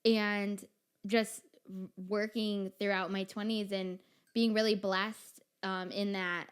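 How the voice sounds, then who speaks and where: A young woman hosting a podcast interview, affirming her guest and asking gentle questions. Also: mid-range voice, level tone, slow, clean tone. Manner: warm, affirming listener, asks gentle questions. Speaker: podcast host. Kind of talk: conversational interview.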